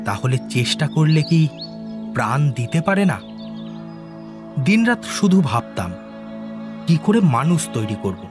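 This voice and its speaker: A man acting an obsessed, secretive scientist in a Bengali audio drama, confessional and emphatic. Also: fairly high pitch. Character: obsessed male scientist, intense, secretive, driven to create life. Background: background music.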